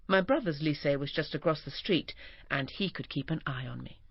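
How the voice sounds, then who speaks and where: A woman narrating a first-person memoir expressively, turning breathy and whispered at moments of feeling. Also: low-pitched, no echo. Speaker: audiobook narrator. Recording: dull, dry recording.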